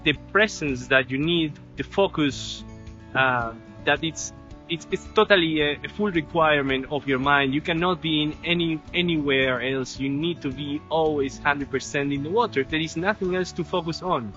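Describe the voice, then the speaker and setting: A man in his thirties from Argentina, a surf instructor talking outdoors by the sea, halting and repeating words. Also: a little high, sharp tone. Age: 30s. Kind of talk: informal video talk. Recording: dull lo-fi sound with music.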